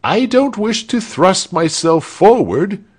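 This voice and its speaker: A man's voice: high-pitched, sing-song, slow pace, breathy edge, pitched above the performer's own voice, exaggerated voice. A man voicing a vain, easily stung male rebel in a storybook, snappish and self-important.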